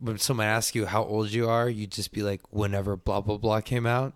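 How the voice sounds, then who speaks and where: A man's voice: fairly low pitch, flat delivery, brisk, dry recording. Casual young man, dry close sound. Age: late 20s. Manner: laid-back slangy banter, swearing. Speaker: podcast host. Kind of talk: casual chat.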